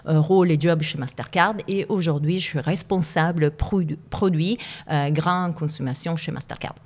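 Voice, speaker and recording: A woman's voice: deep voice, moderate pace, darkish tone. A woman with an Italian accent, a native Italian speaker, talking as a guest in a podcast interview, reflective and hesitant, often repeating words as she searches for them. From Italy.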